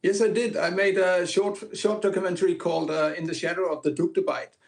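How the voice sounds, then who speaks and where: Hesitant man with a higher voice. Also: fairly even intonation, brisk, gravelly. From Greenland. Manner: hesitant, many fillers and restarts. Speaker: filmmaker and film teacher, guest. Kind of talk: casual interview answers.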